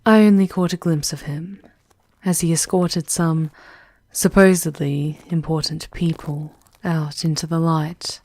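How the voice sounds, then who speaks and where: Female actor as world-weary demon queen guide, ASMR roleplay. Dark, velvety low tone, unhurried formal delivery, breathy near-whispers. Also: steady tone, dry recording. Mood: wistful. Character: regal, world-weary demon queen, once human, guiding a wanderer. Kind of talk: slow, intimate ASMR roleplay monologue.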